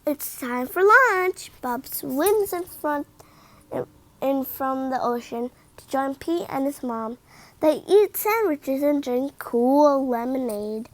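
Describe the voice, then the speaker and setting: A woman reading a children's picture book aloud in an expressive, deliberate manner. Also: high-pitched, dry recording. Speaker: read-aloud storyteller.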